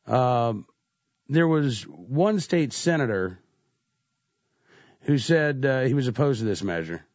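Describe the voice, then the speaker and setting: A man's voice: medium pitch, animated, slow pace, darkish tone, dry recording, highs cut off. A man hosting a talk radio show with a stuffy cold, speaking conversationally with frequent "uh" fillers. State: congested with a cold. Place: radio studio. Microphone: studio microphone.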